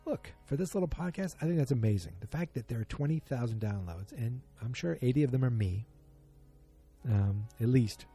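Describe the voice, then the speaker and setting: A man's voice: mid-range voice, expressive, moderate pace, mellow, slightly rough, soft background music. A man hosting a solo podcast, chatting casually in a rambling, self-deprecating, joking way. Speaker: podcast host.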